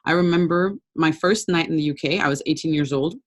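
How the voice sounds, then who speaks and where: A young woman from Brazil giving an informal webinar talk over a video call, conversational and relaxed with frequent ums. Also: deep voice, a little fast. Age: young adult. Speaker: webinar presenter.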